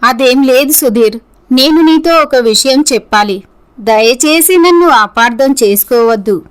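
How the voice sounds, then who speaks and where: A woman playing an earnest young office worker in a troubled romance, speaking deliberately in scripted story dialogue. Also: sits high, lively intonation. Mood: serious, apologetic.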